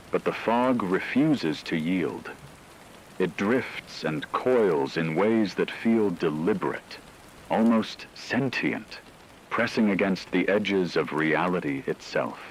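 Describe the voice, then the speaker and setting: A man playing a grave, steadfast emergency radio anchor in an apocalypse drama, speaking in a measured, formal way. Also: deep voice, slight background noise, poor audio. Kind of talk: scripted dramatic broadcast narration.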